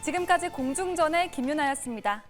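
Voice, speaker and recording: A woman's voice: sits high, lively intonation, fast. A woman presenting a scripted stock market news report in a polished, formal broadcast delivery. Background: music bed.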